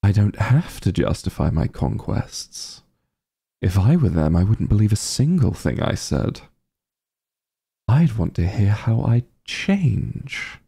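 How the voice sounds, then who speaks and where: An evil sorcerer king, acted by a man for an ASMR roleplay, vain and openly disdainful. His voice is dark and mellow, heavily creaky, and he drawls slowly with pompous, sardonic exasperation. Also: fairly low pitch, big pitch swings, heavily acted. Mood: grudging, musing. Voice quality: gravelly, breathy.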